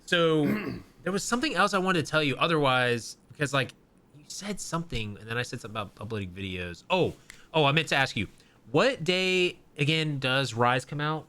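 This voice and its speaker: A man's voice: a little high, expressive, normal speed, pushed and bright. A young man co-hosting a gaming podcast, chatting casually and rambling with many fillers and restarts. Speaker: podcast co-host.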